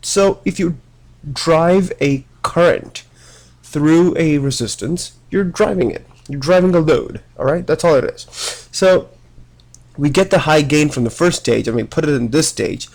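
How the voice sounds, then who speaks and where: Gravelly man lecturing with a cold. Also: on the high side, measured pace, a little mellow. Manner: conversational, frequent "right?" checks and restarts. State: has a cold. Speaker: electronics lecturer. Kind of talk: casual whiteboard lecture.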